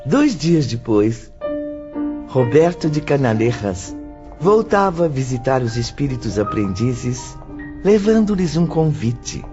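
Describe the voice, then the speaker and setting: A man acting as the measured, formal narrator of a spiritist audio drama. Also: mid-range voice, big pitch swings. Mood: solemn. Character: solemn male narrator of a spiritist audio novel. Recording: dull lo-fi audio with music behind. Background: clear background music.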